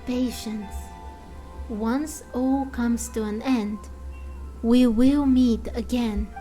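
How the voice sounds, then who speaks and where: Woman as a slow, solemn, cryptic oracle. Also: on the high side, fairly even intonation, mellow, soft background music, poor audio. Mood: calm, ominous. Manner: slow, portentous, drawn-out pronouncements. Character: solemn cryptic female oracle, serene and fanatical.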